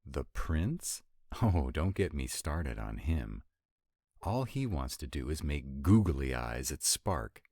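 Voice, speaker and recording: A man's voice: sing-song, slow pace, darkish tone, no room sound, studio quality, exaggerated voice. A man playing a sarcastic, grumbling yet affectionate vampire in a romantic roleplay audio, with exasperated, intimate delivery. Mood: exasperated ranting. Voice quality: very deep voice. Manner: sardonic, exasperated, intimate, swooping emphasis.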